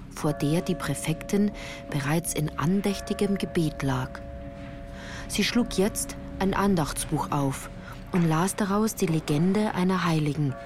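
Female narrator for literary radio play: lowish voice, composed, careful diction, clear music bed underneath. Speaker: first-person narrator.

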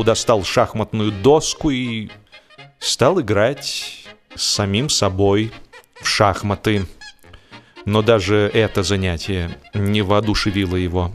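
A man narrating a humorous story as a scripted reading, theatrical in delivery, with drawn-out words and emphatic swings. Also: lowish voice. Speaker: audiobook narrator. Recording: music behind the voice. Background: music.

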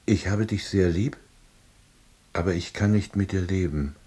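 An actor playing a lonely, melancholy laid-off East German husband, narrating his own story in a terse, deadpan diary manner. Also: low-pitched, unhurried, a little hiss or hum. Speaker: narrator and lead actor of a radio drama. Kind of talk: scripted first-person narration.

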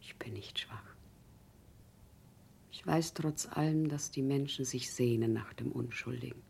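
Radio play: tired, zealous common woman, gravelly edge, solemn and impassioned delivery. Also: extremely low pitch, unhurried, darkish tone, a little hiss or hum, full character voice. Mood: weary, resolute. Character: exhausted, fervent woman of the people on a crusade. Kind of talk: German radio play acting.

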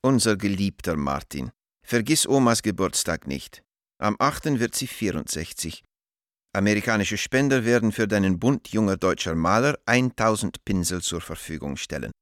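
An actor playing an art dealer who reads out his letters in a measured, deliberate way in a radio play. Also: on the low side, expressive, no echo, clean studio sound, close to an everyday voice. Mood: controlled, insistent.

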